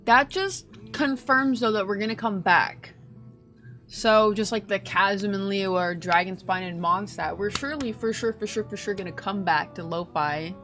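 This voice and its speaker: A young woman streamer giving casual, slangy, joking reaction commentary on a game in an excitable way. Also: mid-range voice, moderate pace, faint music behind.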